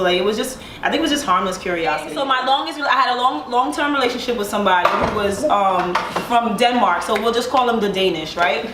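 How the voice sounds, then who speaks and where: A chatty woman in her thirties from Brooklyn tells personal stories, with "like" in almost every line and laughter along the way. Her voice sits at a middle pitch. The sound is roomy and a little distant, with faint background noise. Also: a bit bright. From Brooklyn, of Haitian family. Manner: chatty, fills her talk with "like", laughs as she talks. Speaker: panel guest. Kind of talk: casual chat, candid storytelling.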